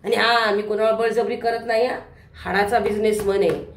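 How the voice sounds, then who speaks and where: A woman's voice: mid-range voice, steady tone, normal speed, lightly acted. Woman acting swaggering lecherous businessman, echoey hall. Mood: defiant, contemptuous. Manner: leering, swaggering, colloquial. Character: lecherous, boastful middle-aged businessman father, crude and bullying. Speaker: stage actor in a one-act play competition. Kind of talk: theatrical stage monologue acting. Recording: echoey hall, distant microphone.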